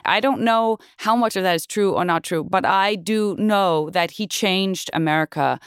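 A woman's voice: mid-range voice, smooth, dry recording, clean studio sound. Woman with a wobbly put-on Dutch-sounding accent. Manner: halting, sheepish, full of restarts. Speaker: interviewee in a mock documentary. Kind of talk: improvised comedy interview.

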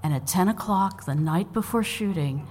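A woman's voice: lowish voice, normal speed, darkish tone, in a large hall. A woman guest on a live comedy quiz show, telling stories with dry, self-mocking humor. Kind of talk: storytelling anecdotes on stage. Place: live stage before an audience.

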